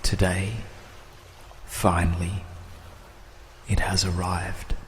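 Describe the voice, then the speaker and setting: Slow, deep-voiced man guiding meditation. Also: little pitch movement, fairly bright tone, slight background noise. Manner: soothing, measured, with long pauses. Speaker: guided meditation narrator. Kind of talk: scripted guided meditation narration.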